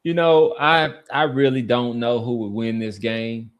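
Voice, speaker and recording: A man's voice: mid-range voice, fairly even intonation, measured pace. A man hosting a sports podcast, debating casually and repeating phrases for emphasis.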